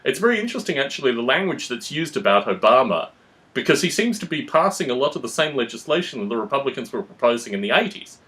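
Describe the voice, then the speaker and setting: Guy podcast host explaining stuff at length, analytical, chatty, middle-pitched voice. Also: big pitch swings, quick pace, a bit bright. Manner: analytical, long-winded, explanatory. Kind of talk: conversational political discussion.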